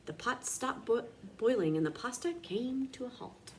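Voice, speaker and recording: A woman reads a children's story aloud like an expressive teacher. Her voice is fairly high and swings widely in pitch for dramatic effect. There is faint noise in the background. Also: moderate pace.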